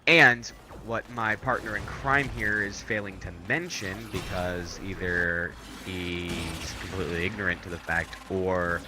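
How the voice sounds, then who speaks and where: A man's voice: sits low, steady tone, a little slow, few pauses, noisy background. A man in casual gaming chat, ribbing his co-host sarcastically and explaining game mechanics in detail. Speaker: co-host of a game discussion video.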